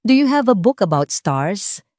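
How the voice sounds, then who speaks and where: A woman voicing a sweet, curious little girl in an audiobook, with a soft, polite manner. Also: mid-range voice, sing-song, a little slow, no room sound, clean studio sound, voice pushed up.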